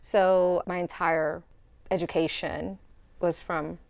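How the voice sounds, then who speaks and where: A woman's voice: lowish voice, level tone, slow pace, gravelly. A young woman from El Salvador, a student guest in a studio interview, speaking earnestly and reflectively with fillers and restarts.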